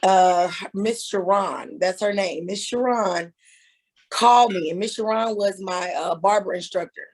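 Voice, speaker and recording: A woman's voice: mid-range voice, a little slow. A woman in her thirties, a hairstylist and author, talking candidly as an interview guest. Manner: candid, storytelling, laughing. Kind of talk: casual interview.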